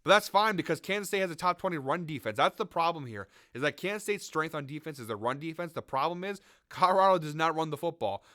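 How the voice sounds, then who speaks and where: A man hosting a sports betting show, chatting casually through his picks while sick, with a raspy, nasal voice. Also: on the high side, expressive, quick pace, hardly any pauses, pushed and bright, no room sound, studio quality. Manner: conversational, hedging, frequent fillers. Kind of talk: casual picks commentary to camera.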